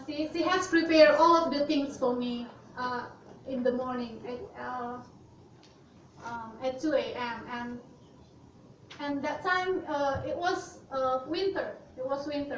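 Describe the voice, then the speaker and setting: High-voiced woman in a roomy hall. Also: a little hiss or hum. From Indonesia. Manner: hesitant, many fillers and restarts. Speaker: student speaker. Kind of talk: presentation talk. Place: university hall. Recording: roomy, lo-fi sound from a distant mic.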